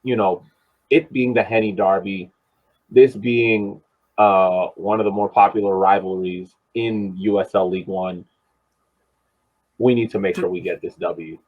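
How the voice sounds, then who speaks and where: A man's voice: low voice, fairly even intonation, measured pace, slightly rough. A man co-hosting a sports podcast in casual discussion, emphatic and repeating phrases for stress, on slightly dull audio. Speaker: podcast co-host, soccer coach.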